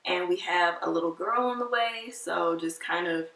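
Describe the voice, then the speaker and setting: A woman in her twenties chatting casually with her partner on a vlog, teasing and quick to laugh. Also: on the low side, creaky, fairly bright tone, far from the mic. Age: 20s. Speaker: vlog co-host. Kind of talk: casual couple chat. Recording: roomy, mic set back.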